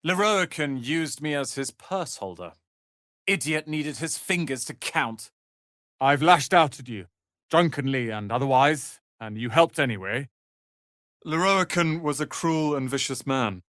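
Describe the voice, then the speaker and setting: A proud young male wizard's apprentice for a fantasy video game. He speaks in a haughty, clipped and theatrical way, at a middling pitch. The sound is close and very dry. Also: expressive, unhurried, fairly bright tone, no room sound. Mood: subdued, sincere.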